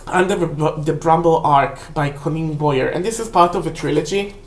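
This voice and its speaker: A woman, a native Hebrew speaker, casually rambling through a book review for a vlog in a halting, self-correcting way. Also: deep voice, a little hiss or hum. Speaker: book haul vlog host. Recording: roomy, echoey indoor sound.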